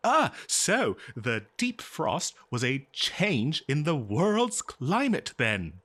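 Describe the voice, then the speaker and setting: A man playing an eager, kindly scholar in an audio roleplay, speaking politely and haltingly to a listener. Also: on the high side, huge pitch swings, fairly bright tone, heavily acted. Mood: amazed, excited. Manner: halting, flustered, polite, exclaiming. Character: eager, scholarly, kindly male researcher of a lost species. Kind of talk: scripted audio roleplay, one-sided dialogue.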